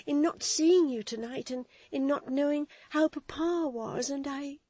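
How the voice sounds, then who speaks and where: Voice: high voice, a little slow, mellow, no echo, pitched above the performer's own voice. A reader voicing a frightened, tender young daughter, pleading and near tears. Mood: anxious. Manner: pleading, tearful. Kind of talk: audiobook reading.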